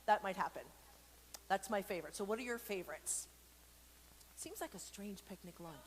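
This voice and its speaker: A woman's voice: mid-range voice, lively intonation, normal speed. Chatty, playful woman in an echoing hall. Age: adult. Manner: chatty, playful, rambling asides with comic exaggeration; speaks directly to viewers, asking questions. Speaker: host reading a picture book to children during an online church service. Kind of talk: casual interactive read-aloud with chatty asides. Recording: long reverberant hall echo, background noise.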